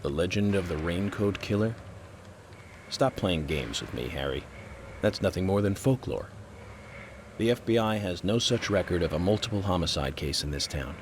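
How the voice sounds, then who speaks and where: An actor playing a cool, skeptical FBI investigator in a video game, speaking in a measured, terse way. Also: male voice, low voice, expressive, slight background noise. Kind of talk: dramatic video game cutscene acting.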